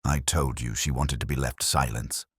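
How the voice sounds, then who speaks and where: A man's voice: very deep voice, steady tone, moderate pace, husky, no echo, studio quality. A man voicing a frightened, guilt-ridden man in an audio mystery story, subdued and pleading. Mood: fearful.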